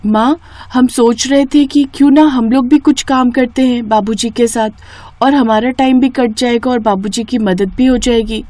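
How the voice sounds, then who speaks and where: Woman as young cartoon girl, high voice, eager, earnest, persuasive, close dry sound. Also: fairly even intonation, moderate pace, mellow, no room sound, close to an everyday voice. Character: eager young daughter pitching ideas to her mother.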